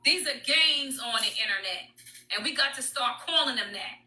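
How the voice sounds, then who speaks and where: A woman with a high, piercing, very bright voice scolds her audience in blunt, quick bursts. She sounds like she is in an echoey room, and the clip is replayed during someone else's live stream. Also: expressive. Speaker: livestreamer. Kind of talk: heated livestream rant.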